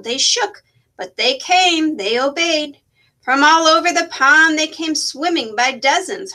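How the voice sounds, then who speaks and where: High-voiced, bright woman reading a story. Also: poor audio. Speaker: teacher reading a story aloud. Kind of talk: expressive children's story narration.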